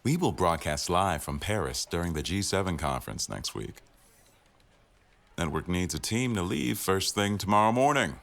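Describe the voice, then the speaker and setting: Man acting very deep-voiced, sardonic boss. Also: animated, normal speed, vocal fry, a bit bright, exaggerated voice. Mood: brisk, businesslike. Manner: dry, wry, authoritative. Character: exacting, sardonic male executive news producer, the boss. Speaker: voice actor in a boyfriend audio roleplay. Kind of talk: scripted ASMR roleplay, addressing staff at a meeting.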